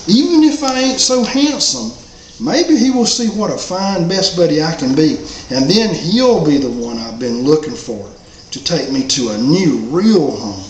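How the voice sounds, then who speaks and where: A man narrates as a humble, hopeful stray dog, with folksy country phrasing. His slightly high voice swings widely in pitch as he tells the story. The recording is roomy and echoey. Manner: folksy, drawling rural phrasing. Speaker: author reading own story. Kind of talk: first-person character storytelling. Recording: roomy echo, faint background noise.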